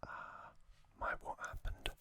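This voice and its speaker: An ASMR host narrating in a breathy, unvoiced whisper, hushed and deliberate, with frequent pauses. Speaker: host of an ASMR trivia channel.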